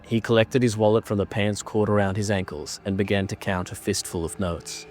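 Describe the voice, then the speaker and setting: A man narrating a scripted horror story in a measured, dramatic manner. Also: lowish voice, steady tone, a little mellow, soft background music.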